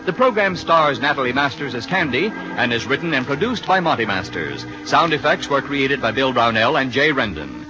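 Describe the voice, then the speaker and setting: A man's voice: mid-range voice, big pitch swings, moderate pace, few pauses. A man announcing scripted credits in the style of 1950s radio. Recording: muffled 1950 radio broadcast recording. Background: organ music.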